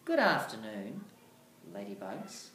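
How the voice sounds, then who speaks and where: A teacher voicing a polite but firm male cicada prince from a storybook script, measured and emphatic. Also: mid-range voice, huge pitch swings, a bit bright, heavily acted. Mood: courteous. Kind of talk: readers theatre storybook reading. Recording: echoey room, faint background noise.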